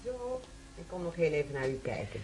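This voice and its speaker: Een actrice speelt een kalme, zakelijke verpleegster die een patiënte geruststelt, in een hoorspel. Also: female voice, low voice, very animated, fairly quick, a little mellow, faint music behind. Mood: reassuring. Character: calm hospital nurse, businesslike and kind. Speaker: nurse. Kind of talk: radio drama acting.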